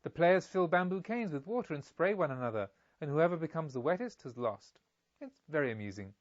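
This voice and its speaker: A man's voice: on the high side, animated, a little fast, dry recording, muffled recording, natural voice. A man voicing a polite, carefree young merchant's son in an audiobook, with eager, formal speech. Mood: polite, enthusiastic. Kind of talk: audiobook character dialogue.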